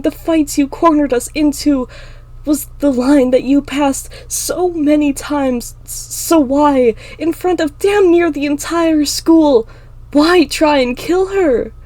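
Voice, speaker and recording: A woman voicing an anguished, defiant teenage demon girl in a fantasy story, pleading in impassioned shouts. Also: high voice, a little slow, no room sound, pitched above the performer's own voice. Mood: angry, demanding. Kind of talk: dramatic fantasy audiobook acting.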